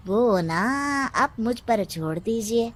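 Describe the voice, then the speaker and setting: A woman voicing a sly, flattering male village advisor in a cartoon story, with a drawn-out, insinuating delivery and chuckles. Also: a little high, heavily acted. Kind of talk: Hindi cartoon moral story acting.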